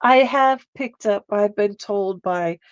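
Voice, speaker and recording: A woman's voice: medium pitch, takes it slowly, dry recording, lo-fi sound. A woman hosting a casual live art stream, chatting and laughing with viewers as she works, with a slight Canadian accent. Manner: chatty, giggly, talks to viewers while crafting.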